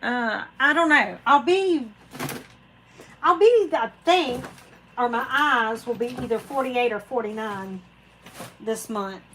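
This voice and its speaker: A woman's voice: fairly high pitch, slow, long pauses, a bit bright, slight background noise, low-quality recording. A woman, hosting a casual live chat and rambling to viewers by name. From Florida, USA. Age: 40s. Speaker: craft channel host reading live chat.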